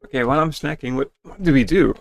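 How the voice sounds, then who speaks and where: A man's voice: on the high side, quick pace, vocal fry. A man reading a text adventure game aloud as a dramatic reading, with casual asides. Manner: expressive, acting out dialogue.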